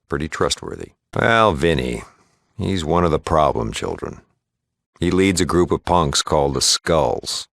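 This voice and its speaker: A male actor playing a gruff, plain-spoken small-town shopkeeper and lawman in a video game, with a gravelly American voice and a folksy, matter-of-fact manner. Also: fairly bright tone, no echo, exaggerated voice. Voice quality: gravelly, low. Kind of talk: video game character dialogue.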